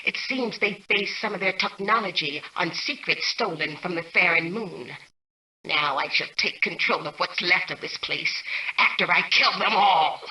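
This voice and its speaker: A woman playing a cold, imperious mainframe AI in a video game, menacing and calculating, with formal, commanding, measured robotic delivery. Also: fairly low pitch, pushed and bright. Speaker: game antagonist turned ally. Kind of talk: scripted video game voice acting. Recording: distant, echoey processed game audio.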